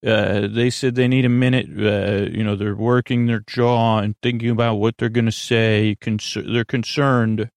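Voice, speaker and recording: A man hosting a bedtime podcast in a rambling monologue, halting and stammering with frequent false starts and self-corrections, in a gravelly voice. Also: fairly low pitch, level tone, no echo. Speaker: podcast host.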